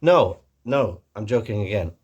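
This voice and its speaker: A man with a slightly low, husky voice talks in a put-on American accent. He hosts in a casual, rambling way, full of jokey, self-deprecating asides. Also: lively intonation, unhurried, a little mellow, dull audio. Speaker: host of a Doctor Who fan video channel. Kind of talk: casual comic vlog chat.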